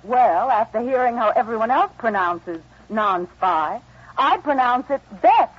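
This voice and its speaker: An actress playing an inquisitive, delighted customer with eager exclamations in a 1940s radio advert. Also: female voice, on the high side, animated, unhurried, slightly rough. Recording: muffled old radio broadcast recording.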